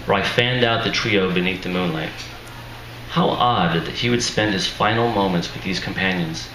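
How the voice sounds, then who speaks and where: A man, an author, reading his fiction aloud at a live event in a measured, deliberate way. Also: low voice. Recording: echoey room with faint noise.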